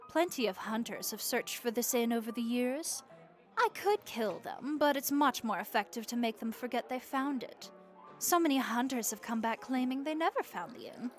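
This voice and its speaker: Woman acting as friendly female vampire innkeeper, higher voice, folksy, encouraging, comforting audio roleplay, faint music behind. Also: close to an everyday voice. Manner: chatty, encouraging, folksy turns of phrase.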